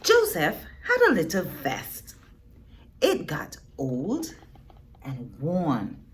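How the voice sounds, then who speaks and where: Animated, unhurried woman reading a storybook. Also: low-pitched, slight background noise. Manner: expressive, teacherly, with dramatic pauses and emphasis. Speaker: storyteller reading a picture book to children. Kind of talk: read-aloud storytime with questions to young listeners.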